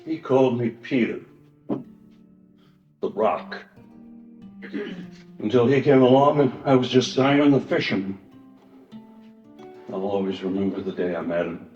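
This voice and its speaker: A man playing an earnest, wounded fisherman disciple in a church Passion play, telling his story haltingly and emotionally. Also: mid-range voice, close to an everyday voice. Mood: wistful reminiscence. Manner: halting, emotional storytelling with swells and hushed drops. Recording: echoey church hall, dull sound, music.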